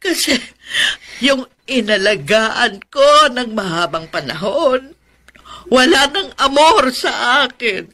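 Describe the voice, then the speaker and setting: An actress playing a touchy, self-pitying older aunt in a radio drama, plaintive and reproachful. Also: female voice, a little high, lively intonation, slow, sharp tone, exaggerated voice. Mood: sulky, hurt. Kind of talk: Filipino radio drama acting.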